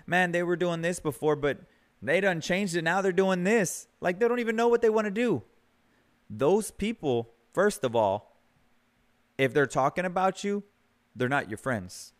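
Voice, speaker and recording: Man, thirties, podcast host, motivational monologue, middle pitch, earnest, casual, repeats words. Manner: earnest, conversational, repeats words as he builds a point.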